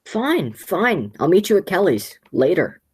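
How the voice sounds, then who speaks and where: A woman's voice: on the low side, sing-song, a little slow, a little mellow, slightly rough, heavily acted. A woman playing a flustered, scheming man in an amateur radio play. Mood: flustered, placating. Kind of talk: radio play acting, high school theatre.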